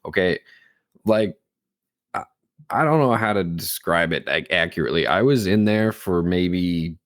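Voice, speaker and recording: A man's voice: deep voice, animated, measured pace, fairly bright tone, no echo, clean studio sound. A young man, co-hosting a podcast, telling rambling stories and quoting people in casual chat. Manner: rambling storyteller, quotes people, swears, laughs. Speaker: podcast co-host.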